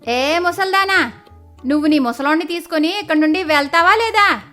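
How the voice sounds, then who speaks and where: A woman voicing a spiteful, scornful village woman in an animated moral story, sneering and scolding sharply. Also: extremely high pitch, brisk, soft background music, voice pushed up. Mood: angry, scolding.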